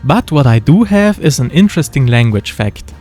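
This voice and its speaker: A man with a German accent narrates his model-building project in a relaxed, wry voice-over, slipping in dry jokes. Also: mid-range voice, normal speed. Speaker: model-building video creator. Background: faint background music.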